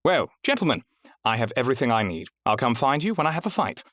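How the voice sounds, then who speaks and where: A man voicing a polished female fight registrar with a smooth accent, asking brisk formal questions. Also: medium pitch, sing-song, fairly bright tone, no echo, voice pushed up. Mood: explaining.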